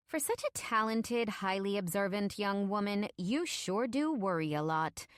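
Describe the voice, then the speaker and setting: A woman's voice: mid-range voice, expressive, measured pace, clear, resonant tone, dry recording, voice pushed up, close to an everyday voice. Woman putting on middle-aged female boss, audiobook, haughty, condescending, falsely sweet, patronising, dry studio sound. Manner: patronising, mock-sweet, sighing. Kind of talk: audiobook character acting.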